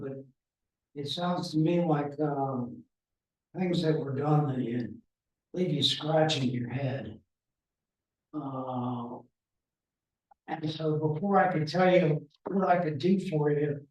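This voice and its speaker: Slow hesitant man, echoey and distant. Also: fairly high pitch, a little mellow. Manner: slow, loose, hesitant explaining with many restarts. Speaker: candidate for town administrator role. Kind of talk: informal meeting talk. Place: meeting room. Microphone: room mic from a distance. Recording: echoey, distant, dull room recording.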